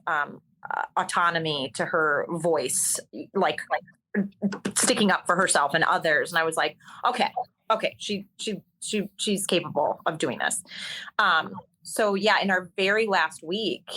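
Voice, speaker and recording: A woman with a bright, forward voice sitting lowish in pitch for her. She talks as an interview guest in a loose, chatty way, full of ums, likes and little restarts. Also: rough recording. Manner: chatty, many fillers and restarts. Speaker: stage actor and singer, guest. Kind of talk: casual conversational storytelling.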